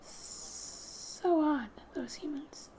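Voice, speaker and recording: Girl doing soft spoken asmr gaming, high mellow voice, kinda whispery n playful, music in the back. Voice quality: soft, breathy, often dropping to a whisper. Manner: gentle, unhurried, playful asides. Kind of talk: soft-spoken ASMR game narration, reading text aloud with asides.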